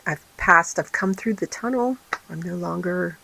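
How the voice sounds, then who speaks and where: A woman hosting a casual vlog, her voice raspy and tired, rambling with many fillers and restarts. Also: fairly low pitch, normal speed, pushed and bright, no echo, poor audio. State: tired, recovering from illness. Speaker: vlog host and small craft business owner. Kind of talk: casual chatty vlog update.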